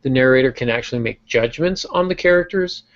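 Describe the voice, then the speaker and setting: A man's voice: medium pitch, fairly quick. A man hosting a podcast, explaining fiction craft in a casual, teaching way with frequent fillers. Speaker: podcast host and novelist. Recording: dull lo-fi podcast audio.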